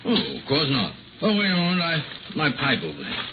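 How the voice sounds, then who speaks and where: An actor playing an amiable, kindly reverend in a 1950s radio drama, chatty and folksy with an old friend. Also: male voice, on the high side, wildly swooping pitch, a little fast, creaky, exaggerated voice. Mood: genial, chatty. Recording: very noisy, muffled old radio recording.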